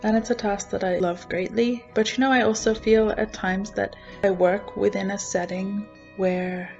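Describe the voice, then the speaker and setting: Gravelly-voiced Australian woman, lo-fi. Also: mid-range voice, fairly even intonation, moderate pace, a little mellow, faint music behind. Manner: reflective, frequent 'you know'. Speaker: residential school worker. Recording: dull lo-fi sound.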